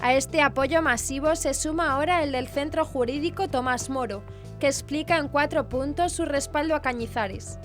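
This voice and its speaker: A high-voiced woman from presents scripted news. Her reading is formal bulletin narration, with faint music underneath. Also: moderate pace.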